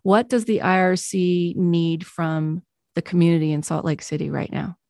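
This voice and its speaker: A woman interviewing guests for local news, asking clear, measured questions. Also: lowish voice, fairly even intonation, a little mellow, no echo, clean studio sound.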